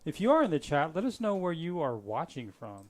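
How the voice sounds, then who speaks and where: A man's voice: a little high, fairly quick. Guy commentating a live poker game, easygoing and chatty, lots of uh and you know. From Florida. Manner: relaxed and chatty, with frequent fillers and restarts.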